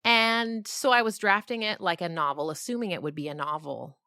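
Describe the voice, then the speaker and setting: Woman, middle-aged, interviewed author. Bright, forward tone, animated and conversational, dry recording. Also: fairly low pitch, moderate pace, clear, resonant tone, no echo. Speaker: novelist and podcast creator being interviewed.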